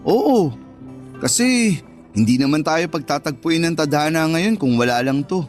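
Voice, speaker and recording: Casting: male actor as sweet-talking persistent suitor, fairly high male voice, earnest radio romance, light music bed. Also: lively intonation, normal speed, close to an everyday voice. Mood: persistent, romantic. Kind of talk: Tagalog radio romance drama acting.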